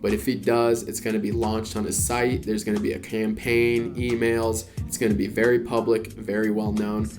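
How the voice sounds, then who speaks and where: A man walks through his collection in a relaxed, conversational way, his voice sitting low and staying fairly flat. Background music runs clearly underneath the whole time. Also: normal speed, few pauses. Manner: laid-back, conversational, frequent "you know" and "kinda". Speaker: collector vlogger. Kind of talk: casual explainer vlog.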